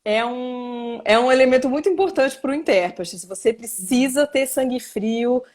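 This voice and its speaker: Brazilian woman interpreter explaining, higher voice. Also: moderate pace, a little mellow. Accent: Brazilian Portuguese. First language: Portuguese. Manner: reflective, explains with examples and restarts. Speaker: simultaneous interpreter and journalist, guest. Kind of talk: casual live interview.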